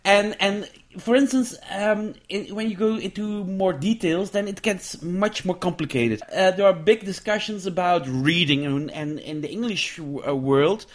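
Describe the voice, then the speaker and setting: A man with a Dutch accent, a researcher and author answering interview questions in a thoughtful, halting way with frequent restarts. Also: sits high. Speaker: education researcher and book author.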